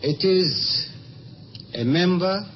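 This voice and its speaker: A man speaking as a diplomat in a deliberate, measured formal assembly speech. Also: high voice. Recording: dull, noisy old assembly recording.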